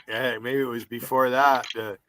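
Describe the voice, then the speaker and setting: A man's voice: mid-range voice, flat delivery, moderate pace, noisy background. A man in his fifties with a northern New York accent, hosting a livestream chat in a blunt, rambling way and swearing freely. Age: 50s. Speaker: livestream host. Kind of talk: casual chat.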